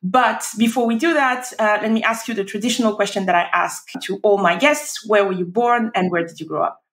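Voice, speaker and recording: A woman hosting a podcast interview, asking polite, measured questions. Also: medium pitch. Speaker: podcast host. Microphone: remote recording.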